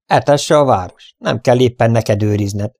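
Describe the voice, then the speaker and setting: A man's voice: mid-range voice, darkish tone, close to an everyday voice. A man voicing a reasonable, firm farmer in a western story. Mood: reasoning.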